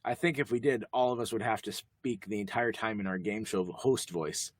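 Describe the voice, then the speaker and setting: A young man co-hosting a podcast, talking quickly in a rambling, jokey way. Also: fairly low pitch, no room sound, studio quality. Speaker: podcast co-host. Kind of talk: casual chat.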